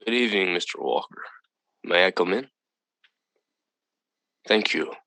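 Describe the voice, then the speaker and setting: Radio drama alien emissary: deep, creaky, unhurried in manner, impeccably polite and enigmatic. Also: male voice, bright, forceful tone, no room sound, heavily acted. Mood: courteous, calm. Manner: formal, measured, polite. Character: calm, courteous, mysterious male visitor from another planet. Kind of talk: old-time radio drama acting.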